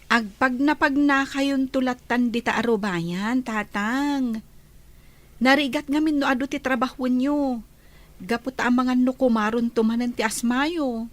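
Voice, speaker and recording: A caring grown daughter in a village radio drama, played by a woman. She speaks attentively and politely in a high voice and has an Ilocano accent. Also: a little slow, dry recording.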